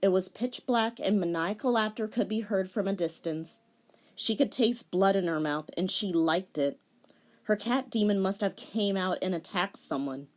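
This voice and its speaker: A woman's voice: mid-range voice, moderate pace, darkish tone. A woman dramatically narrating fan fiction, theatrical in her storytelling, with wry and casual asides. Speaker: fan fiction reader and commentator.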